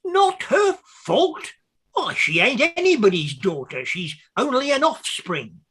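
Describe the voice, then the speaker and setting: An excitable, husky clerk. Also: male voice, high voice, moderate pace, heavily acted. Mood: outraged. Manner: melodramatic, exclamatory, obsequious toward betters. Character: indignant, fawning male clerk, servile and excitable. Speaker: actor in a comic play. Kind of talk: theatrical radio comedy acting.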